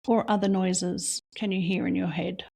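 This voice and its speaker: A woman leading a guided reflection exercise, asking slow, soft questions with long pauses between them. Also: on the low side, level tone, vocal fry, husky. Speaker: meditation guide.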